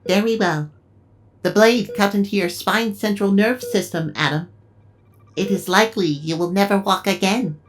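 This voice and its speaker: A robot medical assistant, voiced by a woman, mid-pitched and formal. It explains things in a gentle, clinical way, as in an audio drama. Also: moderate pace, close to an everyday voice. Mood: grave, reluctant.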